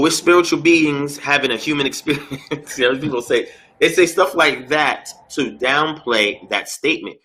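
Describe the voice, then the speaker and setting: Husky man explaining in lecture style. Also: mid-range voice, pushed and bright. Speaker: interview guest, religious teacher.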